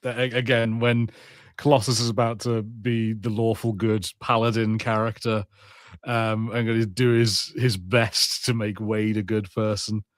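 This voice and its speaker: A man's voice: fairly low pitch, little pitch movement, unhurried, no echo, clean studio sound. A man in his thirties co-hosting a podcast, chatting casually in a hesitant, stop-start way. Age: 30s. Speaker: podcast co-host.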